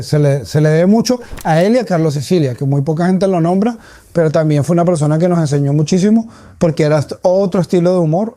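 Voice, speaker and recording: Hombre caraqueño de voz muy oscura y relajada. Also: male voice, fairly high pitch, warm tone, no echo, studio quality. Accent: Caracas Venezuelan. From Caracas, Venezuela. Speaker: comedian, interview guest. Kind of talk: conversational interview answers.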